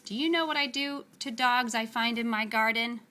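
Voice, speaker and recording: A woman voicing a menacing, haughty male magician in a storybook, speaking deliberately. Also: fairly high pitch, bright, forceful tone. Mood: menacing, disgusted. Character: menacing adult male magician, imperious and cold.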